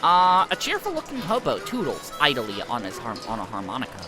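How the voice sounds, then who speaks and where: A man's voice: medium pitch, wildly swooping pitch, brisk, music in the background. A man streaming a game, reading its dialogue aloud in a wry, playful way.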